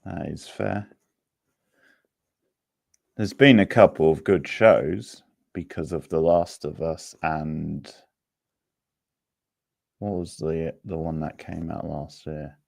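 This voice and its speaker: A man hosting a livestream quiz, chatting casually in an unhurried, rambling way as he replies to viewers. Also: extremely low pitch, fairly even intonation, creaky, darkish tone, no room sound, clean studio sound.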